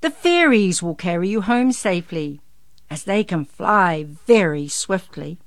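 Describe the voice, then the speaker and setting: A woman's voice: animated, unhurried, no room sound, clean studio sound. Woman putting on a big, hearty, jolly Santa Claus. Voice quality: big, booming.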